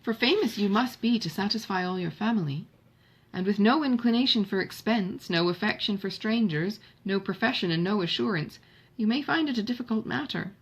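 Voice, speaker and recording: A woman's voice: medium pitch, a little fast, husky, poor audio. A woman voicing an affectionate, teasing middle-aged mother in a novel, questioning in formal language.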